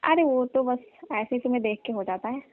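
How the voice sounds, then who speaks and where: A woman's voice: fairly high pitch, lively intonation, fairly quick, dry recording, close to an everyday voice. A woman playing a flirtatious, pushy village aunt who coaxes and teases a younger repairman in a comic phone skit. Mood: teasing. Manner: coaxing, teasing, colloquial. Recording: tinny, dry phone-call recording.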